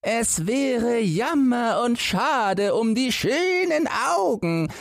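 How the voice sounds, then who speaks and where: A man's voice: very high-pitched, big pitch swings, drawn-out words, gravelly, no echo, clean studio sound, pitched above the performer's own voice, exaggerated voice. A man voicing a pitying innkeeper's wife in a fairy tale, admonishing and lamenting. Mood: worried pity. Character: pitying innkeeper's wife, shushing her husband.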